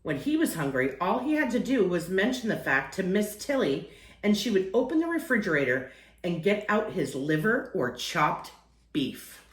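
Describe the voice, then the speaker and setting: A woman's voice: on the low side, very animated, normal speed, roomy sound. A woman reading a children's picture book aloud as a storyteller, acting out phrases and lingering on key words.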